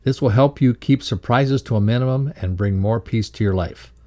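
A man reading scripted educational narration in a measured, instructive way. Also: mid-range voice, mellow. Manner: measured, instructive read-aloud delivery. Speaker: reader of a values lesson.

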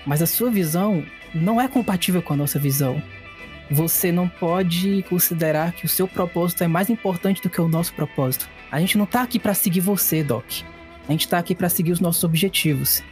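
A man playing a tabletop RPG, speaking in character with a deliberate, argumentative manner. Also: sits high, faint music behind. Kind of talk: improvised in-character roleplay, casual table talk.

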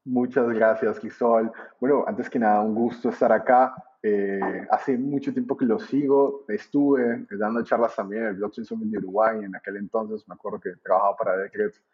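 A man from Colombia with a Colombian accent, in his thirties, answering interview questions in a relaxed way with frequent hesitations. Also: on the low side, moderate pace, few pauses, husky, dull audio. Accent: Colombian Spanish. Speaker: podcast guest, trader and educator.

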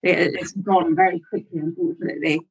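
A woman's voice: on the low side, fairly even intonation, a little fast, husky, rough recording. A woman, a guest author chatting casually and laughing often. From Wales. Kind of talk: casual interview chat.

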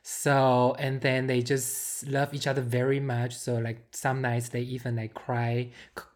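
A woman's voice: a bass voice, level tone, measured pace, a little mellow. A woman, chatting casually as a podcast co-host, giggly and prone to mixing up names. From Taiwan. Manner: giggly, mixes up names, repeats words. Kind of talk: casual chat about films.

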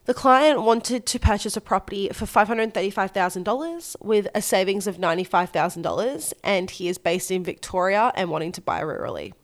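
A woman's voice: mid-range voice, animated, brisk, hardly any pauses. An Australian woman with a slight head cold, presenting a scripted solo podcast as co-host and mortgage broker. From Gold Coast, Australia.

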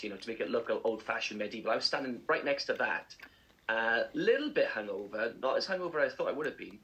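A man's voice: medium pitch, sharp tone, a little hiss or hum, low-quality recording. A young man co-hosting a casual breakfast show, telling rambling, self-mocking stories. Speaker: co-host.

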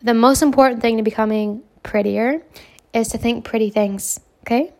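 A young woman hosting a casual self-help podcast, hoarse from a cold, talking in a brash, self-assured way and swearing freely. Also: a little high, fairly even intonation, normal speed, a little mellow. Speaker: podcast host.

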